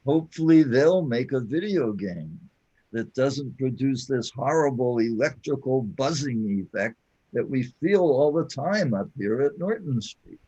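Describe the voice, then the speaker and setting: Man playing a husky, slow divine companion, dull sound. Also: mid-range voice, expressive, mellow. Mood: explaining. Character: Mike, patient, reverent male companion to a god. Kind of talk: scripted audio drama dialogue.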